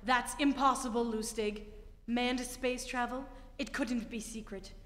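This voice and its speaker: A woman's voice: on the high side, fairly even intonation, measured pace, a bit bright. A woman playing a commanding, skeptical spaceship captain in a radio play, speaking with emphatic authority on stage. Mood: skeptical, amazed. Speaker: amateur theatre actor. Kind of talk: old-time radio play stage acting. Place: theatre stage before an audience. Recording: echoey hall, live stage performance.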